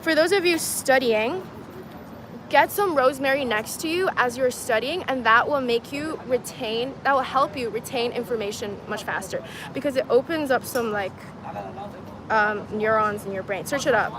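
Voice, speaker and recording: A woman's voice: fairly high pitch, moderate pace. A woman chatting casually on a livestream, reading viewer comments aloud and talking to her audience as "guys". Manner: chatty, laughs easily, talks to viewers as "guys". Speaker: vlogger reading live chat. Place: outdoors in a city at dusk. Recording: outdoor stream with clear traffic noise. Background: street and traffic noise.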